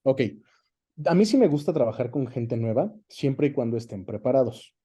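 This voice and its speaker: Male, Mexican accent, mid-pitched and very mellow. Interview guest, explains colloquially with examples. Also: lively intonation, moderate pace, breathy edge. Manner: explanatory, colloquial, uses examples and frequent tag questions. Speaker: interview guest, dubbing director. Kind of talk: conversational interview.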